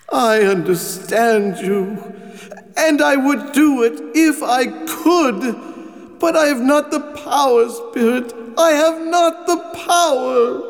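An anguished, elderly miser in a radio drama. His halting, theatrical voice rises very high with fear and drags out each phrase. It rings through a long reverberant space with music clearly behind it. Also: exaggerated voice. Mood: frightened pleading. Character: anguished old miser pleading with a spirit.

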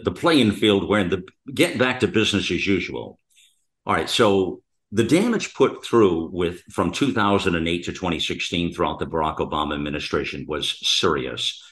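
A man's voice: low voice, fairly bright tone. An older American man hosting a political talk show, conversational and emphatic, repeating phrases for weight. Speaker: talk radio host.

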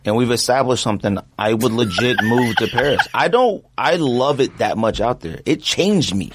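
Casual male podcast host, playful and animated, big pitch swings. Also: normal speed, background noise. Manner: animated, jokey, pitch jumps up when excited. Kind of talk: casual chat.

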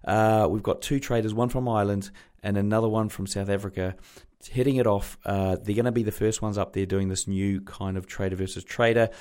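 Male New podcast host, slightly croaky throat, loose chatty delivery, a touch low in pitch. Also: fairly even intonation, fairly quick, a little mellow. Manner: chatty, rambling, many fillers. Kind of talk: casual podcast intro.

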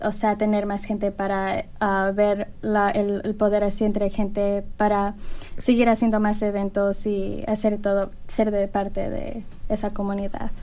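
A woman's voice: medium pitch, monotone, measured pace, mellow. A young woman student from Ecuador answering casually in a group interview, with many fillers. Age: young adult. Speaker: university student.

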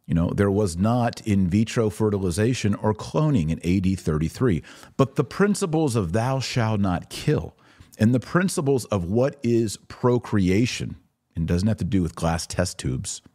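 An American man hosting a religious commentary podcast, speaking emphatically with deliberate pauses for stress. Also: on the low side, darkish tone. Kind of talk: opinionated commentary to camera.

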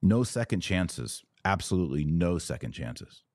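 Very deep-voiced man, preachy podcast host. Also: sing-song, moderate pace, a little mellow, dry recording, clean studio sound. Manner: emphatic and preachy, with short declarative repeats. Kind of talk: live-stream religious commentary, reading out viewer comments.

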